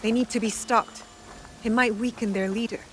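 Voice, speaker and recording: A woman's voice: a little high, steady tone, normal speed, a bit bright, a little hiss or hum, close to an everyday voice. An actress playing a resolute, wary female rebel with a dry, no-nonsense manner in a video game cutscene. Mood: measured, curious. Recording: music behind the voice. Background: music.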